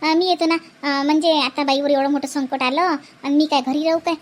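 A woman's voice: high voice, fairly even intonation, brisk, background noise, close to an everyday voice. A woman playing a pushy, touchy village daughter-in-law in a comedy cartoon, insistent and repetitive, with a Vidarbha Marathi accent. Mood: pleading. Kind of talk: exaggerated cartoon family comedy.

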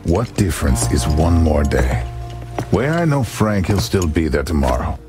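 Very deep, cocky Wild West outlaw. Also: male voice, big pitch swings, moderate pace, over music. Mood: easygoing. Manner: drawling, peppers English with Spanish words. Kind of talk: video game cutscene acting.

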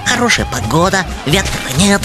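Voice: medium pitch, sing-song, normal speed, music in the background, natural voice. An actor voicing a touchy, anxious, bookish young male hedgehog in a cartoon, prim and fussily articulate. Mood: guarded. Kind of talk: cartoon voice acting.

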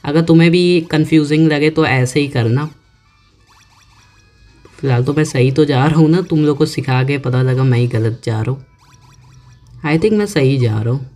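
A man giving casual let's-play commentary, chatting to himself and reading on-screen text aloud slowly. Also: fairly high pitch, a little mellow. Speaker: gaming YouTuber. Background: faint game music.

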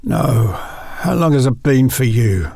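A man plays a feeble, weary older man in an audio drama. His voice is gravelly and husky, with terse, desperate delivery. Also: mid-range voice, lively intonation, slow pace, darkish tone, dry recording, exaggerated voice. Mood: wary.

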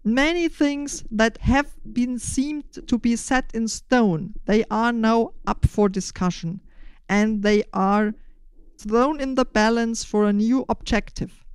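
An older woman explaining a podcast in measured, deliberate, prepared phrases. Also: fairly high pitch, smooth, no echo. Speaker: podcast host.

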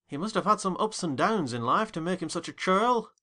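A man voicing a curious, polite gentleman tenant in a storybook reading. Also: high-pitched, expressive, brisk, voice pushed up, heavily acted. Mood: inquisitive. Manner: polite, inquisitive.

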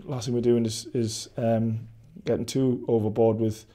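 Man in his forties, Welsh accent, football coach, post-match interview, mellow flat voice, halting restarts. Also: mid-range voice. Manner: measured, thoughtful, with frequent restarts and fillers. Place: indoor press backdrop at a stadium.

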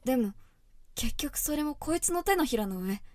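A woman's voice: sits high, lively intonation, moderate pace, no room sound, studio quality. A woman voicing an anguished young werewolf girl in a voice drama, resolute but emotional. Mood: brooding, hushed. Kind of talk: Japanese voice drama acting.

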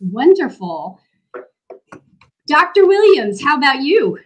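Middle-aged woman host, fairly high voice, friendly upbeat lead-ins on a video call. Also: very animated, slow pace, poor audio. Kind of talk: live interview hosting.

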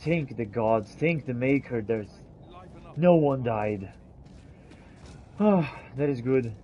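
Mellow-voiced man roleplaying in a fantasy game. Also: medium pitch, animated, takes it slowly, dull audio, slight background noise. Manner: theatrical archaic phrasing mixed with casual talk. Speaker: gamer roleplaying as the player character. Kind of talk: in-character roleplay commentary over fantasy game.

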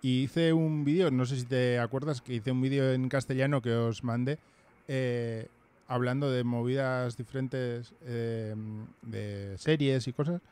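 Male host in his thirties, halting and hesitant, close mic, small home room. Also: mid-range voice, steady tone, a little mellow, clear, resonant tone. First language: Basque. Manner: hesitant, many fillers and restarts. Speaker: podcast host. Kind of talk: casual video-call chat. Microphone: headphones and studio mic.

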